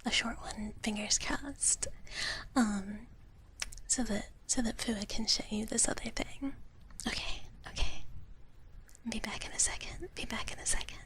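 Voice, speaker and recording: Woman playing a whispering, shy dragon big sister. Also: on the high side. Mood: soft, friendly. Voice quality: breathy whisper. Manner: hesitant, halting, soft-spoken, refers to herself in third person. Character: gentle shy dragon big-sister streamer persona, speaking in third person. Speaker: ASMR livestream host. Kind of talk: soft whispered ASMR chat with live viewers.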